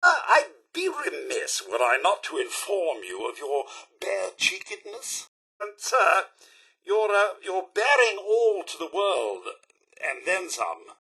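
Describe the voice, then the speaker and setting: An actor playing a fussy, chipper robot butler in a video game, with a British accent and a polite, pompous, theatrical manner. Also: male voice, high voice, huge pitch swings, slow, gravelly, bright, forceful tone, slightly rough, heavily acted, low-quality recording. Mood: prim, disapproving. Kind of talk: video game character voice lines.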